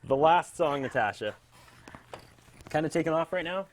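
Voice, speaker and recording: A man's voice: on the high side, lively intonation, moderate pace, a little hiss or hum. A man hosting a music show, interviewing a guest in an informal, chatty way. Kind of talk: casual on-camera music interview.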